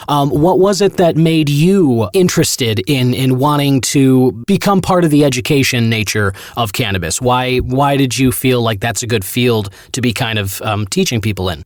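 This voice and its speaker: A man with a mid-pitched voice hosting a casual podcast interview. He recaps what the guest says and encourages him along. The sound is dry and close. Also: expressive, normal speed, few pauses, no room sound. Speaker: podcast host.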